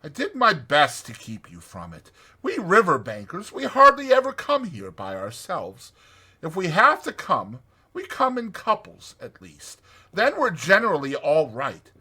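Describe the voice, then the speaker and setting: Man voicing a hearty, bright, lively storybook rat. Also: on the high side, unhurried, slightly rough, voice pushed up, heavily acted. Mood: soothing, explanatory. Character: hearty, good-natured, capable male water rat. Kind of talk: dramatized children's storybook reading.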